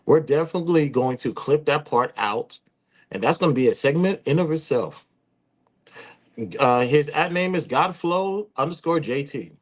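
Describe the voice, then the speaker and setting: A man's voice: fairly high pitch, animated, moderate pace. A man in his late thirties hosting a live talk show, speaking in a casual, joking way. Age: 30s. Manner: joking, teasing. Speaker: host.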